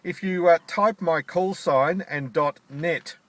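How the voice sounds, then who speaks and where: A man's voice: a little high, takes it slowly, no room sound. Australian man on a ham radio. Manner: friendly, radio procedure with call signs and "over". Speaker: amateur radio operator. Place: sitting in his car at a park.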